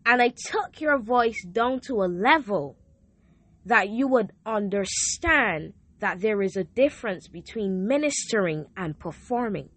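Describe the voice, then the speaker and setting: A young woman hosting a faith talk show and sharing a personal testimony. She speaks earnestly, often repeats herself and restarts her sentences, and her voice sounds a little hoarse. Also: medium pitch, expressive, measured pace, a bit bright. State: hoarse from a sore throat. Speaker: podcast host.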